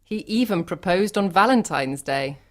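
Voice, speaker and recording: Audiobook role: actress as sensitive young Irish woman, sincere, mid-pitched, with very lively intonation. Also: normal speed, lightly acted. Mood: happy, loving. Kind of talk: acted audiobook dialogue.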